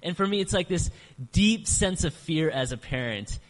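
A middle-aged man preaching a sermon as pastor, earnest, slowing down to stress key phrases. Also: a little high, animated. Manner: earnest, varies emphasis, slows on key phrases. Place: church sanctuary. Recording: long reverb of a church hall.

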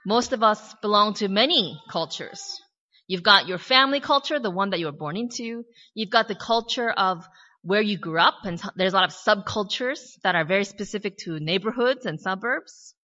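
Woman pastor, American accent, bright, clear voice. She preaches conversationally, asks searching questions and adds wry asides. Muffled, lo-fi sound. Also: medium pitch, lively intonation, normal speed, in a large hall. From born in Korea, raised in the US. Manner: reflective, asks many questions, light humorous asides. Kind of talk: conversational sermon. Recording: dull lo-fi recording.

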